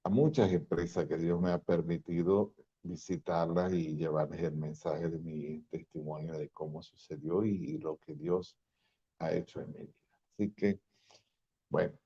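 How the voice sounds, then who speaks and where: A man's voice: deep voice, fairly even intonation, a little slow, warm tone, slightly rough. An older man from Venezuela with a Venezuelan accent, a pastor sharing his testimony in a folksy storytelling way. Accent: Venezuelan Spanish. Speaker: pastor and guest speaker. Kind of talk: testimony talk. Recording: dull lo-fi sound.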